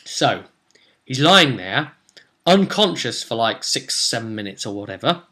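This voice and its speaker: A young man talks casually to the camera as a game master. He tells the story of a tabletop session with dramatic emphasis, rambling along as he goes. His pitch is average for a man. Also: very animated, a little slow, bright, forceful tone. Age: young adult. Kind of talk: animated casual recap of a tabletop role-play session. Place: small room at home, indoors.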